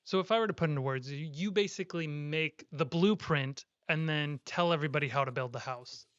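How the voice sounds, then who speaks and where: A young man hosts a podcast in an upbeat, playful way, his voice a touch high and smooth. The sound is dry and slightly dull, like a lo-fi recording. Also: fairly quick, fairly bright tone. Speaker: podcast host. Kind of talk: casual interview chat.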